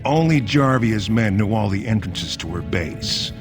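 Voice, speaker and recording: An actor playing a gruff, suspicious dwarf shopkeeper, curt and guarded, in a fantasy game. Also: male voice, lowish voice, animated, a little slow, soft background music.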